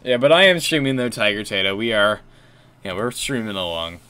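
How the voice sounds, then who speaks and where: Young man, deep voice, forceful bright tone, casual gaming stream, rambling. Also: expressive, a little fast, clean tone. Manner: low-key, rambling with fillers and restarts.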